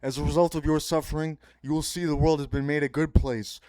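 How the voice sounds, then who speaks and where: A man's voice: on the high side, little pitch movement, fairly quick, darkish tone, dry recording. A man hosting a solo podcast, delivering a rambling, grandiose, pseudo-philosophical monologue that repeats itself and breaks into sudden profanity. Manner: repetitive, circling phrasing. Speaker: podcast host.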